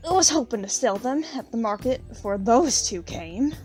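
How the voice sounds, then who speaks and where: A woman's voice: on the high side, expressive, normal speed, a little mellow, soft background music, close to an everyday voice. A woman playing a poor, plain-spoken country widow, bitter and unsentimental, in an audio drama. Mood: matter-of-fact.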